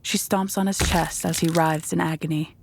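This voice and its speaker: A woman's voice: deep voice, steady tone, normal speed, gravelly, slight background noise. A woman reading scripted, dramatic narration for a horror audio drama.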